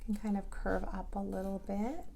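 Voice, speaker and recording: A woman teaching a drawing lesson to preschool children, guiding them gently and patiently, step by step. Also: medium pitch, fairly even intonation, moderate pace, vocal fry, slight background noise.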